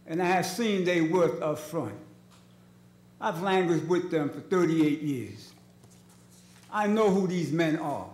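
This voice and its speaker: Older man testifying, high-pitched voice, echoey room. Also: darkish tone. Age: 60s. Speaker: community organizer testifying. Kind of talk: impassioned public testimony. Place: large indoor legislative hearing room, seated at a table. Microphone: gooseneck table microphone. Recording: echoey hearing chamber.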